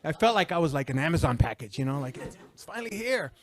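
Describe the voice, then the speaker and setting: A man's voice: fairly high pitch, sing-song, fast. A man from Texas in his fifties, a retired Secret Service official speaking on a panel with a handheld mic, conversational and full of restarts. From El Paso, Texas. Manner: conversational, many restarts and fillers. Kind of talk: panel discussion, personal career story. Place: auditorium stage before an audience. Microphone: handheld microphone.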